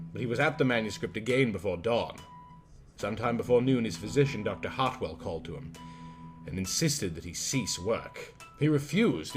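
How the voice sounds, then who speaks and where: A man with a fairly low voice narrates a literary horror story in a scripted audiobook style. Plucked guitar music plays clearly behind his reading.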